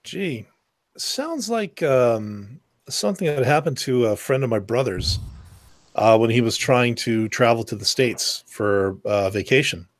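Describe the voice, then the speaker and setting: A man's voice: medium pitch, lively intonation, unhurried, dry recording. Guy on a podcast telling a story, swears a bunch, dry close sound. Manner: storytelling, acts out quoted exchanges, swears freely. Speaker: podcast co-host. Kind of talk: casual round-table chat.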